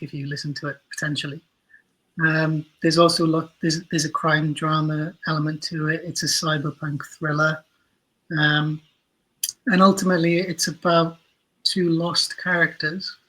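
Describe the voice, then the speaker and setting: A man's voice: on the high side, fairly bright tone, poor audio. A man chatting casually as an interview guest, explaining things in a thoughtful, self-deprecating way. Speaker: guest, audio drama writer and producer.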